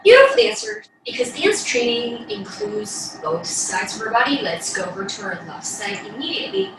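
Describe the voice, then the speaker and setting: A woman's voice: on the high side, big pitch swings, normal speed, background noise. A woman ballet teacher leading an online class from a bedroom, giving short, encouraging cues while demonstrating, picked up by a camera across the room. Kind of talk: instructing an online dance class. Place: bedroom, indoors. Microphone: camera mic across the room. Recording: echoey room, distant mic, music over voice. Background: music.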